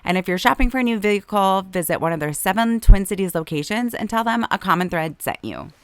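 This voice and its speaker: A woman, hosting a podcast. She is hoarse from a long illness, and her deep, raspy voice has a lot of vocal fry. She chats easily and laughs often. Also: lively intonation, fast talker, no room sound. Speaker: podcast host. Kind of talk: casual chat and read ads.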